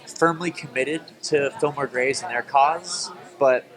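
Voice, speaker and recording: Stuffed-up nasal guy answering interview questions quick and plain. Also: medium pitch, fairly bright tone, rough recording. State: congested, nasal. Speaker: actor being interviewed. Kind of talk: press room interview answers.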